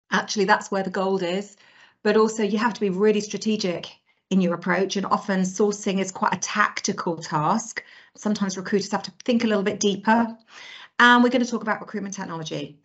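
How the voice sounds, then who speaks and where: A woman's voice: mid-range voice, a little fast, a bit bright, lo-fi sound. A woman hosting a podcast, upbeat and jokey as she introduces the topic and puts questions to her guest. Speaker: podcast host.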